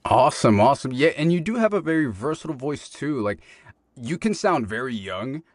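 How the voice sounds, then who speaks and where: Young podcast host guy, deep voice, hyped reactions, dry close sound. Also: very animated, fairly quick, no echo. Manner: upbeat, enthusiastic reactions. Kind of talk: casual interview chat.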